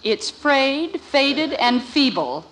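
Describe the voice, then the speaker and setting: A woman's voice: high voice, sing-song, drawn-out words, a bit bright, heavily acted. An actress playing a dry-witted, sweetly sarcastic wife and mother in a 1950s radio sitcom. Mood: insistent, teasing.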